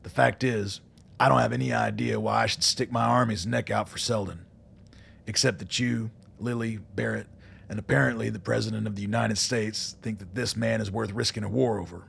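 An actor playing a gruff, embattled military colonel in a radio drama, blunt, dry and commanding. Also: male voice, on the low side, fairly even intonation, fairly quick, fairly bright tone, slight background noise. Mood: frustrated.

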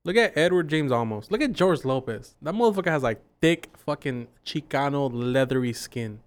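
A man in his twenties, co-hosting a casual podcast, joking loudly and swearing often. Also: on the high side, lively intonation, moderate pace, clean tone. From Mexican-American. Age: 20s. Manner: profane, boisterous, joking. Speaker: podcast co-host. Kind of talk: casual drinking-podcast banter.

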